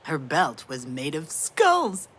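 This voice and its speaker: A woman playing a sardonic, self-blaming yet tender mother in a dramatic video game, frank and emotionally expressive. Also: sits low, slow pace, a little hiss or hum, heavily acted. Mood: wry enthusiasm. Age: adult.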